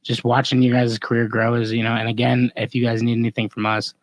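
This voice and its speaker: A man's voice: medium pitch, completely flat delivery, fast talker, no room sound, low-quality recording. A man co-hosting a podcast, talking casually in loose, somewhat hesitant phrases. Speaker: podcast co-host.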